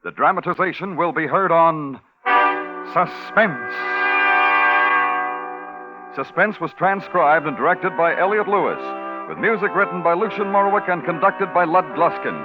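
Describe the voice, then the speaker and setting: A man's voice: fairly high pitch, expressive, moderate pace. A man reading scripted show announcements in 1950s radio style, with music behind. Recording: dull lo-fi 1950s radio recording.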